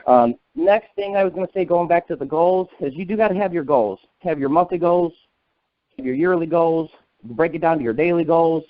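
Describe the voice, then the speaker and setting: A middle-aged American man coaches a sales team over a thin, narrow telephone line. His voice sits a little high for a man, and the sound is dry. He is a folksy fast talker with a motivational, trainer's delivery. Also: dry recording. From northern United States. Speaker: sales agency leader and trainer. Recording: narrow, tinny conference-call phone line.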